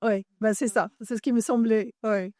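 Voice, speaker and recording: A woman's voice: a little high, steady tone, normal speed, mellow, no room sound, clean studio sound. A woman from Ireland whose first language is English, a journalist and author explaining at length as an interview guest, often searching for French words and correcting herself.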